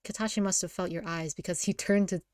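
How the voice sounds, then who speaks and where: A woman with a slightly low voice narrates a visual novel in a dry, close recording. She reads informally, prone to giggles, and often trips on a phrase and repeats it. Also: fairly even intonation, quick pace, darkish tone, dry recording. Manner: giggly, often stumbles and rereads lines.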